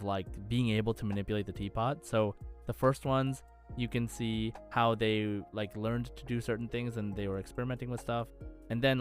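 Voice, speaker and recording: A man hosting a gaming podcast, chatting casually and with enthusiasm about the topic. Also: lowish voice. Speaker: podcast host.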